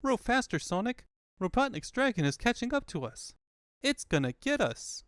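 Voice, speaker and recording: A man's voice: extremely high pitch, very animated, moderate pace, a bit bright, smooth, no echo, clean studio sound, voice pushed up, full character voice. A man voicing an earnest, anxious boy fox sidekick who muses and questions, in a comic book fandub. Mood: alarmed. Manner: questioning, musing.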